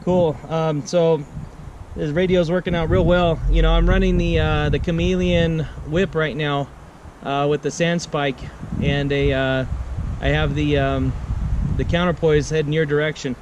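A middle-aged American man with a fairly high voice, recorded outdoors with clear noise behind him. He talks casually as a ham radio operator and repeats short phrases for clarity. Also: level tone, unhurried, lo-fi sound. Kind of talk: casual radio chat while demonstrating gear. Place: outdoor picnic table on a wooded mountain. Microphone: camera on the table nearby.

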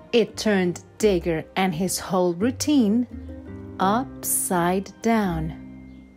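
A woman reading a rhyming children's picture book aloud with measured, deliberate phrasing. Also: medium pitch, darkish tone. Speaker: storybook narrator. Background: instrumental backing music.

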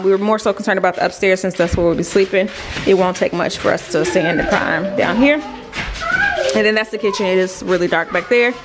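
Young woman vlogging casually over background noise. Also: medium pitch. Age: young adult. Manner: informal, addresses viewers directly. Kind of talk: casual vlog chat, narrating a house tour. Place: indoors, rooms of a house under renovation. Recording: clear background noise, clicks.

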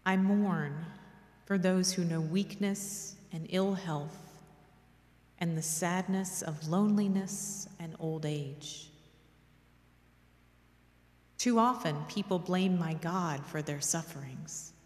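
A middle-aged woman giving a solemn, measured devotional reading as a prayerful reader at a church service. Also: fairly low pitch, a little mellow. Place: large dim church, at a lectern. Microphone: gooseneck lectern microphone. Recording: echoey church with long reverb.

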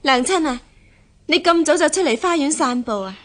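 A woman's voice: high-pitched, expressive, normal speed, a bit bright. An actress playing a confident, well-born young woman in a historical radio drama, self-assured, with a Hong Kong Cantonese accent. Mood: dutiful, reasoning. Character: confident young gentlewoman, martial-minded daughter of official's household. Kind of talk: radio drama acting in historical costume piece.

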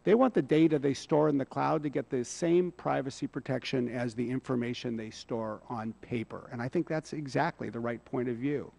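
A middle-aged man testifying at a hearing, speaking in a measured, persuasive way into a table microphone in a large hearing room. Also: mid-range voice, gravelly, a little mellow. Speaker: hearing witness. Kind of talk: congressional testimony.

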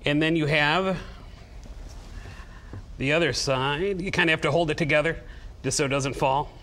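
A man's voice: fairly high pitch, normal speed, fairly bright tone. A middle-aged man, chatting and joking as he gives step-by-step instructions as a guest on live television. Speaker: guest towel-folding demonstrator and seller. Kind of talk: casual live TV demonstration. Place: TV studio at a demonstration table.

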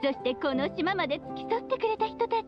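A woman's voice: very high-pitched, lively intonation, fast, lo-fi sound, over music. A woman voicing a breezy, affectionate, free-spirited witch mother in a video game, with a teasing friendly manner. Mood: cheerful. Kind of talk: video game voice acting, monologue.

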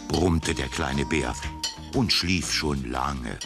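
Very deep gravelly male storyteller. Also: slow. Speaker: narrator. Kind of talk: scripted children's story narration. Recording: music behind the voice. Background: loud music.

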